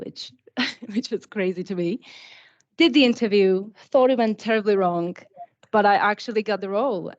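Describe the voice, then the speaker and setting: A woman in her twenties from the Czech Republic, a native Czech speaker, telling her story chattily as a panel guest. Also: mid-range voice. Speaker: panel guest, product systems engineer. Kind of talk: casual panel talk. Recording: dull lo-fi sound.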